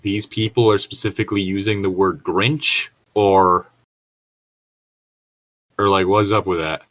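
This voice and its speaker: Man, low voice, casual co-host, story read-along, rambling joking commentary. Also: steady tone, a little slow, a bit bright. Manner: rambling, jokey, chuckles at own remarks. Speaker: co-host reading stories aloud and commenting.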